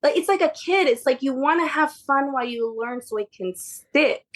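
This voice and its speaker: A woman's voice: high-pitched, normal speed. A woman whose first language is Spanish, chatting informally as a podcast guest about her work. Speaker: podcast guest, behavior therapy tutor. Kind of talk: casual conversational interview.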